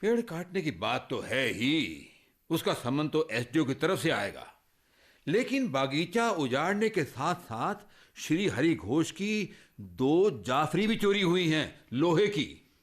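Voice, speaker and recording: Slow-spoken, apologetic police inspector. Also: male voice, on the high side, very animated. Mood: official, regretful. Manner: halting, apologetic. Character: hesitant, regretful male police inspector. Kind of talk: radio drama acting.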